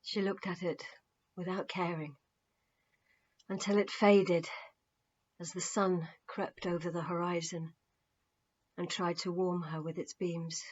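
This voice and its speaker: A woman reading her novel aloud as an expressive, measured audiobook narrator. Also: lowish voice, lo-fi sound. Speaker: author reading own novel.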